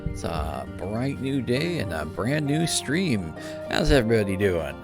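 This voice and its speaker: A man's voice: lowish voice, big pitch swings, a little slow. A man casually chatting as a live game streamer, in an easygoing, informal way. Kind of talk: casual livestream chat. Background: music.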